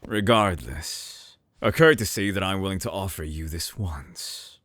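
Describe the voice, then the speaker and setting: A man playing a suave, obsessive villain scientist in an intimate audio drama, murmuring with silky condescension in a breathy, theatrical way, close to the mic. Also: takes it slowly, a bit bright, no room sound, exaggerated voice. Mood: smooth, condescending. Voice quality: low, breathy, husky murmur. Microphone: close binaural microphone.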